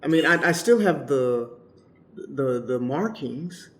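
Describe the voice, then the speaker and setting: A man voicing a kindly, halting monster who is a proud home cook in an improvised comedy radio play. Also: medium pitch, expressive. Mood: wary, needling.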